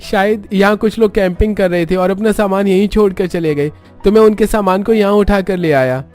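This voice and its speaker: Man playing an eager high-voiced young husband. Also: fairly quick, a little mellow, faint music behind, natural voice. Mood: matter-of-fact.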